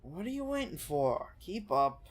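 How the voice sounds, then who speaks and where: A streamer with a nasal voice playing a gruff, impatient male prison guard in a video game. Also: mid-range voice, very animated, unhurried. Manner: curt, impatient. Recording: music in the background.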